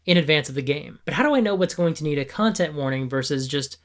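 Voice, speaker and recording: Slightly hoarse man explaining earnestly. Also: fairly high pitch, animated, fast. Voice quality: a bit gravelly. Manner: earnest, opinionated, explains with examples. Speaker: tabletop game video host. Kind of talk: scripted explanatory video.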